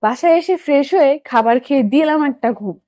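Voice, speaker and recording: A woman's voice: sits high, expressive, fairly quick, dark tone, dry recording, clean studio sound. A young woman narrates a romantic story in the first person, acting out dialogue as she goes.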